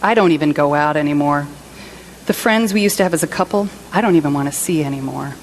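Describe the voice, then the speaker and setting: A woman's voice: low-pitched, quick pace, slight background noise, rough recording. A woman performing a dramatic monologue as a hurt, self-pitying jilted partner, indignant and emphatic. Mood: wounded. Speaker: reader in a church service skit.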